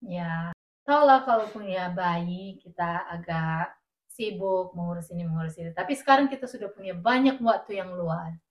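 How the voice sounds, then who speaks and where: A woman's voice: fairly low pitch, moderate pace, echoey room, poor audio. Chatty woman with a Batak accent. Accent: Batak-tinged Indonesian. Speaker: podcast host. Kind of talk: casual podcast chat.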